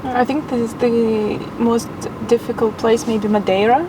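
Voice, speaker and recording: A woman's voice: fairly high pitch, steady tone, measured pace. A woman hosting a casual interview, chatty and thinking aloud with fillers. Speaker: interviewer, channel host. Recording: distant from mic, noisy hum.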